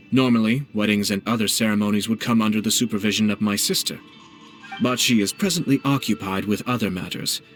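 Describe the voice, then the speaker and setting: An actor playing a polite, composed young male clan head in a video game, speaking in a formal, measured, elegant manner. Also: fairly low pitch, close to an everyday voice. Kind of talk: video game character acting. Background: game music.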